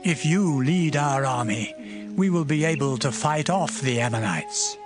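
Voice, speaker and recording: A man's voice: on the high side, measured pace, close to an everyday voice. A man playing the elders of a tribe, earnest and pleading, in a dramatized Bible reading. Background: background music.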